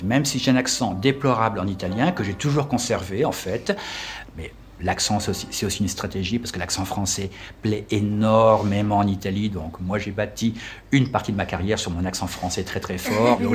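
A French man in his fifties, a tour guide answering interview questions casually, pausing often with fillers and restarting his phrases. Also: lowish voice, a little hiss or hum. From France. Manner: hesitant, with many fillers and restarts.

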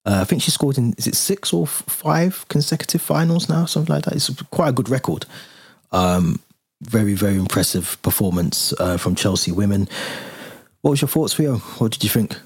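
A man's voice: mid-range voice, normal speed, breathy edge, no room sound, studio quality. A man hosting a casual football podcast, talking easily with a lot of ums and reading out plugs for the channel. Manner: relaxed, lots of fillers and restarts, reads out channel plugs. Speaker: podcast host.

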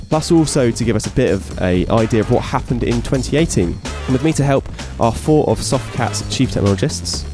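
A man hosting a tech podcast, with quick, chatty and playful questions. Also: medium pitch. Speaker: podcast host.

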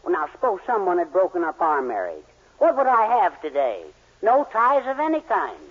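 An actor playing a meek, kindly middle-aged husband, henpecked and hesitant, in a 1940s radio sitcom. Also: male voice, high-pitched, wildly swooping pitch, normal speed, breathy edge, exaggerated voice. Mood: pleading, flustered. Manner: hesitant, hemming and hawing. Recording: muffled 1940s radio broadcast recording.

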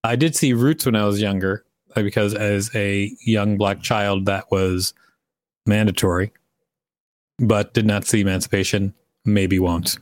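A man's voice: lowish voice, fairly even intonation, measured pace, no echo. A man co-hosting a podcast, reading an article aloud and chatting casually. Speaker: podcast co-host.

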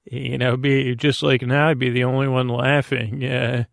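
Creaky-voiced man, soothing and flat in pitch. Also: no echo, studio quality. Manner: meandering, hesitant, halting, repeating words; soothing, lulling. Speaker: podcast host. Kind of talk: rambling sleep podcast monologue.

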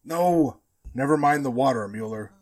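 A man's voice: mid-range voice, normal speed, darkish tone, close to an everyday voice. A man acting a grim, shaken radio studio man in a 1940s-style radio drama, blunt and somber.

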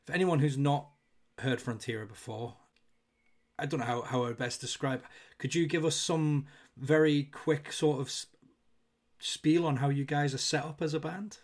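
A man's voice: a little high, moderate pace, a little mellow. A man hosting a podcast interview in a casual way. He hesitates and searches for words as he frames his questions. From Scotland. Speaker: podcast host.

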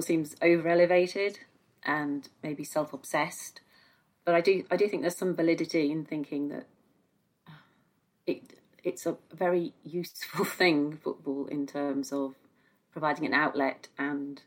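A woman talking reflectively in a podcast discussion, thoughtful and hesitant, often repeating words as she goes. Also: low voice. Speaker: guest football journalist.

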